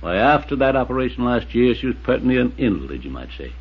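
An actor playing a thrifty, pious, guarded farmer with a rural American accent, folksy and moralizing in a 1950s radio drama. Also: male voice, medium pitch, sing-song, fast, slightly rough. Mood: guarded, solemn. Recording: dull, faintly noisy 1950s radio recording.

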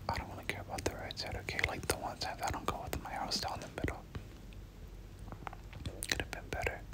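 A person doing whispered ASMR game commentary, speaking casually in gamer slang and often repeating short phrases. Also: brisk. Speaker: gameplay commentator.

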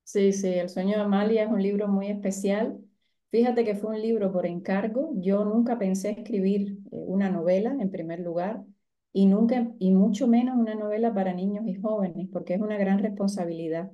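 A middle-aged woman with a softened Cuban accent, speaking reflectively as a guest in an interview over a video call. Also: mid-range voice, steady tone, normal speed, dull audio. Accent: Cuban, softened by years abroad. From Cuba. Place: indoor room at home, on a video call.

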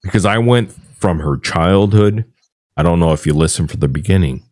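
A man's voice: low-pitched, normal speed, a little mellow, no room sound, studio quality. A man hosting a livestream, talking casually in a blunt, profane way. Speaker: livestream host.

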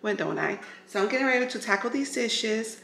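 A woman's voice: fairly high pitch, expressive, brisk, gravelly, fairly bright tone, a little hiss or hum. A middle-aged woman vlogger chatting informally and directly to her viewers in a home kitchen. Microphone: camera at arm's length. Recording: roomy echoing kitchen.

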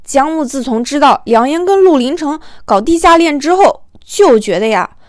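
A woman narrating a comic romance novel as an audiobook in an expressive, colloquial storytelling manner. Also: high voice, moderate pace, no room sound, clean studio sound.

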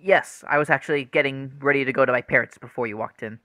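A man's voice: mid-range voice, quick pace, noisy background, natural voice. A man playing an apologetic, earnest adult son in a radio play, hesitant and repeating his words.

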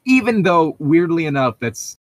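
A man's voice: on the high side, big pitch swings, moderate pace. A man giving casual, critical commentary as a podcast co-host, explaining his points as he goes. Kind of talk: casual commentary on a book being read aloud.

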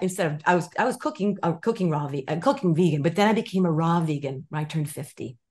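Animated woman telling a story on a video call. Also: fairly low pitch. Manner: animated storytelling with many restarts and quoted voices; gestures with her hands as she talks. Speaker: guest. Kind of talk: casual interview chat. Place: home room with stone wall, indoors.

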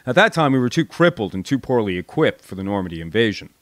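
An American man reading a war memoir as an audiobook, in an expressive storytelling manner with emphatic pauses. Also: on the low side, no echo, clean studio sound. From United States. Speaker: audiobook narrator, actor. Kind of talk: dramatic audiobook narration of a soldier's memoir.

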